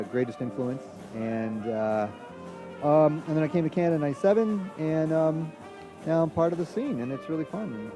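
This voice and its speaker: A man from Trinidad chatting casually as a musician being interviewed, using relaxed hip hop slang. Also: medium pitch. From Trinidad, from San Fernando. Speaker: jazz trumpet player, interview guest. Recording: loud music in the background.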